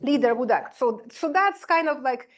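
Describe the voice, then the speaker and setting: A woman, a political science professor giving an academic lecture, explaining in a measured way with many "sort of" and "you know" asides. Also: high-pitched, lively intonation.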